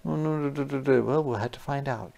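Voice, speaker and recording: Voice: mid-range voice, fast, creaky. A storyteller reading a picture book aloud to children, with playful, wondering asides. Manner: animated, playful wondering asides to young listeners. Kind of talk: interactive children's read-aloud.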